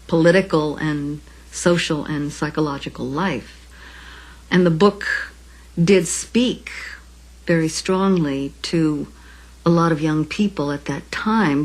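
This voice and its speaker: A woman with a low, husky voice offers reflective commentary in an interview. She speaks in a measured, scholarly manner, as a literary commentator would. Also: low-quality recording. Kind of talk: thoughtful documentary interview commentary.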